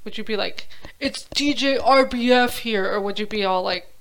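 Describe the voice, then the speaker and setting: A woman's voice: mid-range voice, normal speed. Chatty woman podcaster, lo-fi audio. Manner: chatty, laughs often, trails off and restarts. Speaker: podcast co-host. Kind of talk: casual chat.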